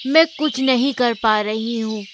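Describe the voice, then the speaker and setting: A woman's voice: a little high, a little slow, background noise, lightly acted. A woman voicing a worried, sorrowful mother with a lamenting manner in a cartoon horror story.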